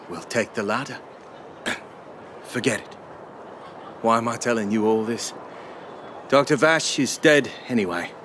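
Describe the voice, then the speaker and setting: A man's voice: medium pitch, fairly bright tone, a little hiss or hum. A man voicing a world-worn, cynical miner in a video game, blunt and guarded, with music underneath. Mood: bitter, resigned.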